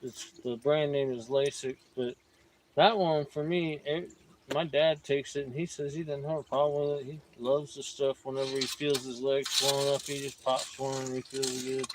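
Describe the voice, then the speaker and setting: A man's voice: mid-range voice, moderate pace. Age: 50s. Man, creek noise behind. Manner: relaxed, rambling storyteller. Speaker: prospecting livestream host. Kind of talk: casual outdoor chat. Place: outdoors by a creek.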